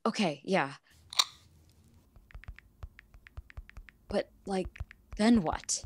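An actress playing an anxious, proud young wife in a radio play, talking casually and dramatically. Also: female voice, medium pitch, expressive, slow pace, a little mellow. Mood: subdued, unsure. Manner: casual modern speech, dramatic. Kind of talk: naturalistic radio play acting.

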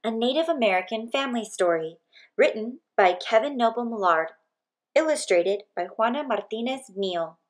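A woman narrating a picture book aloud expressively, with deliberate phrasing and pauses between short phrases. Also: a little high, expressive.

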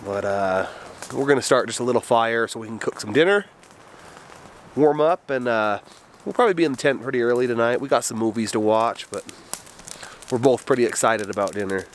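A man in his forties chats into a camera held close to his face at a mountain campsite. His voice sits in a middle pitch and his talk is loose and rambling, full of fillers. A faint wind noise runs underneath. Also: a little fast. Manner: relaxed, chatty, rambling with fillers. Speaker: backpacking vlogger. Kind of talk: casual outdoor vlog. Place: outdoors in a windy mountain forest camp.

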